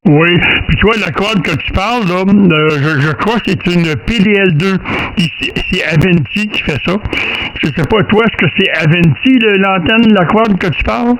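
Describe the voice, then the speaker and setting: A man's voice: high-pitched, moderate pace, a bit bright. A man with a Québécois French accent chatting casually and folksily over two-way radio as an amateur operator, rambling and repeating words for emphasis. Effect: two-way radio transmission. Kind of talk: casual radio chat about antennas. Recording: noisy two-way radio transmission with clicks.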